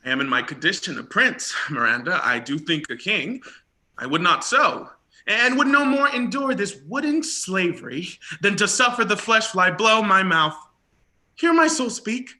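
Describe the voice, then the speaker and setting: A man's voice: high-pitched, lively intonation, moderate pace, bright, forceful tone, full character voice. A man playing an ardent, courtly young prince toiling at logs, in theatrical, effusive Shakespearean stage acting. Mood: ardent, earnest.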